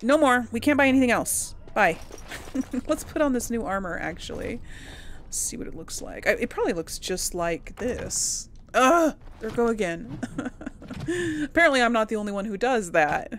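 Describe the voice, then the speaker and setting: A woman's voice: fairly high pitch, big pitch swings, normal speed, a little hiss or hum. A woman hosting a game playthrough, chatting casually to viewers and musing aloud to herself. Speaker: host of a gaming playthrough series. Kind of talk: casual let's play commentary, chatting to viewers.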